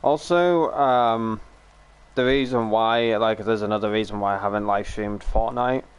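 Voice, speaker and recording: A man's voice: on the low side, measured pace, smooth. A young man chatting casually on a live stream, rambling and grumbling, his voice a little strained from a recovering sore throat. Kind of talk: casual live stream chat while gaming.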